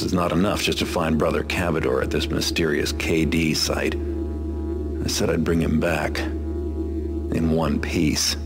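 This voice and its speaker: A man playing a cynical, world-weary master thief in a video game, with a gravelly voice and a deadpan, wry delivery. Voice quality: gravelly, low. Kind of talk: noir-like scripted game narration and dialogue.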